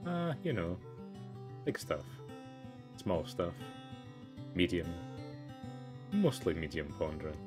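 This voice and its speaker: A man's voice: low voice, sing-song, takes it slowly, long pauses, music in the background, exaggerated voice. A man voicing a casual, evasive young deer boy in a storybook game.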